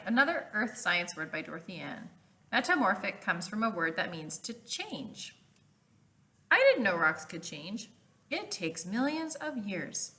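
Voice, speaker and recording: Bright-voiced woman reading to children. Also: medium pitch. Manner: expressive, instructive, pausing to explain words. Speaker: teacher reading aloud to children. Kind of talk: animated children's picture book read-aloud with explanations.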